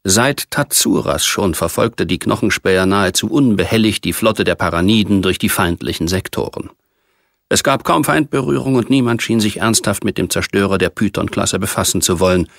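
Male audiobook reader, low and creaky, measured science fiction narration. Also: a bit bright, no room sound, clean studio sound.

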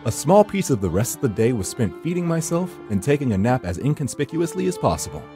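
A man's voice: medium pitch, sing-song, quick pace, mellow, faint music behind. A man reading first-person horror narration for a visual novel in a brooding, theatrical storytelling manner.

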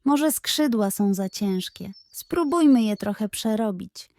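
A woman's voice: mid-range voice, lively intonation, measured pace, a little mellow, no room sound. A woman voicing a kindly female seagull, a patient and encouraging mentor in a children's bedtime story. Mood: reassuring.

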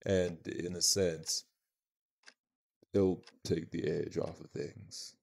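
An actor playing an imposing, protective vampire man in an audio roleplay, dry-witted, haughty and measured, with a gravelly voice. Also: sits low, fairly even intonation, exaggerated voice.

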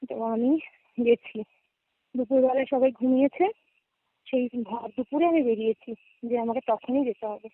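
A woman's voice: fairly high pitch, fairly even intonation, moderate pace, pauses often, no echo. A young adult woman with a West Bengal Bengali accent tells a personal story casually as a caller, often checking in with her listener, over a narrow phone connection. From West Bengal, India. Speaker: guest caller. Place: remote call, dry sound. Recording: narrow tinny phone-call audio.

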